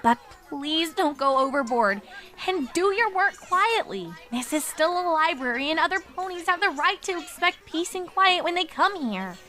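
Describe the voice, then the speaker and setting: A woman's voice: very high voice, very animated, moderate pace, bright, forceful tone, exaggerated voice. A woman playing a studious, earnest young female pony in a fan audio drama, speaking precisely with an orderly, admonishing manner. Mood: firm. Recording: music behind the voice.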